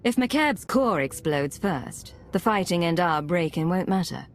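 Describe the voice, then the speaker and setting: A resolute secret agent commander in a sci-fi game. Her voice is fairly low, cool and commanding, with faint ambience behind it. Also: lively intonation, a little slow, slight background noise.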